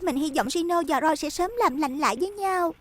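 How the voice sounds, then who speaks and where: A woman playing a sweet, earnest child peacemaker in a dubbed animated series. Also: sits high, a little fast, lightly acted. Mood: pleading.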